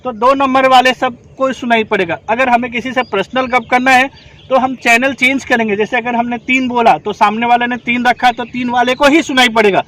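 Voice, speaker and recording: A man with a strikingly high voice leads a hands-on training session for rescue volunteers. He explains step by step and keeps checking that the class has understood. The recording is made outdoors. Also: fairly even intonation, moderate pace, a bit bright. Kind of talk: instructional demonstration.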